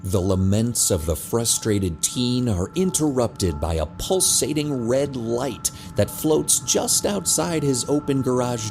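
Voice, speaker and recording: A man narrating a scripted audio drama as its storyteller. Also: mid-range voice.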